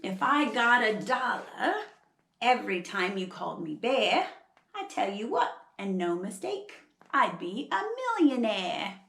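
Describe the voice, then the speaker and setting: A woman's voice: medium pitch, very animated, measured pace, creaky, fairly bright tone, reverberant, exaggerated voice. A woman voicing an indignant male koala from a children's picture book, complaining emphatically in rhyme with an attempted Australian accent. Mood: grumbling. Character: indignant male koala fed up with being called bear.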